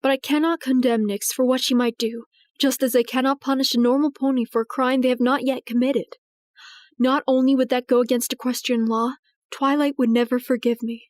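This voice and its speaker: A woman voicing a regal, protective pony princess in an audio drama, speaking formally and earnestly with measured, anxious gravity. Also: sits high, flat delivery, darkish tone, dry recording, close to an everyday voice. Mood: resolute, torn. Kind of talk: dramatized audio fiction reading.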